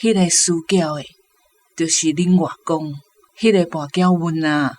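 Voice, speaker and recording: Elderly taiwanese mum reminiscing real slow, radio drama, some noise in the background. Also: female voice, low voice, steady tone, darkish tone.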